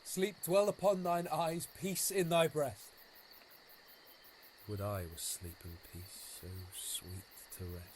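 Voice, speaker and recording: Slow-spoken young romantic lover , played by a man. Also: a little high. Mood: dreamy, tender. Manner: theatrical, verse delivery. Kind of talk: Shakespearean audio drama acting. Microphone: phone. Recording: home phone recording, faint noise.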